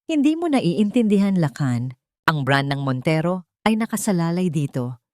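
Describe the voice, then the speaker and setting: A woman's voice: lowish voice, very animated, moderate pace, a little mellow, dry recording, studio quality. Woman voicing a cold, blunt old chairman. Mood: cold, stern. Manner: cold, straight to the point.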